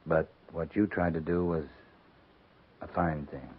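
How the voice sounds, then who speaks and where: An actor playing a steady, courteous middle-aged American cavalry captain in a 1950s radio western, measured and authoritative. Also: male voice, a bass voice, slightly rough, full character voice. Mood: gentle, reserved. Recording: muffled, noisy 1950s radio recording.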